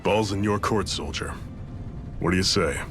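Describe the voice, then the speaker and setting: A man's voice: low voice, moderate pace, lightly acted. A man playing a middle-aged resistance leader in a video game, commanding yet folksy and plain-spoken. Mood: frank, challenging. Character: steady, rallying male resistance leader, gruffly fatherly. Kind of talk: video game cutscene acting. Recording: loud music behind the voice.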